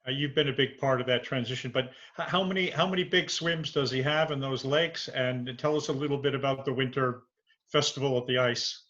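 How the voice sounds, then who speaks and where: A man hosting an interview over a video call, halting and repeating himself as he frames his questions. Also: medium pitch, fairly bright tone. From Vermont, USA. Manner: halting, repeats words and fills with uh. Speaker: interviewer, guest host. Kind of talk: casual interview. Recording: dull lo-fi call audio.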